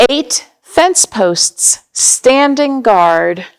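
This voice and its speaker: A woman with a creaky voice hosting storytime for young children, reading aloud and chatting to them in simple explanations and questions. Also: on the high side, sing-song, extremely slow, low-quality recording. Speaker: children's storytime host at a library.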